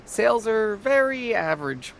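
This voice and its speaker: A woman's voice: on the high side, big pitch swings, moderate pace, creaky, slight background noise. A woman playing a humble, eager drink vendor in a video game, polite, earnest and deferential. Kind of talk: English video game voice acting.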